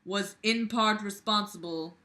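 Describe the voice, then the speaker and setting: A woman's voice: medium pitch, a little slow, pushed and bright. A woman voicing a stern, authoritative male school principal from a novel, in a commanding, declamatory manner. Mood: stern, announcing.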